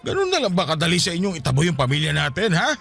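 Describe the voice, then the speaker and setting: A man's voice: on the high side, big pitch swings, racing, gravelly, fairly bright tone, soft background music, full character voice. A man acting a pleading, bitter, drunken husband and father in a Filipino radio drama. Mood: bitter, accusing. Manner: wheedling, then accusing.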